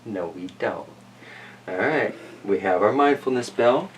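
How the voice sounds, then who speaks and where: A man's voice: on the low side, lively intonation, measured pace. A man hosting a casual, chatty read-aloud of picture books, playful and folksy, with rambling asides. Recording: roomy distant mic with slight noise.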